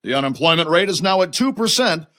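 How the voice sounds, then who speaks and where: An actor in an audio drama playing a self-important TV news anchor, reading in a formal, projected announcer style. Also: male voice, fairly high pitch, very animated, fast, fairly bright tone. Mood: polished, projecting.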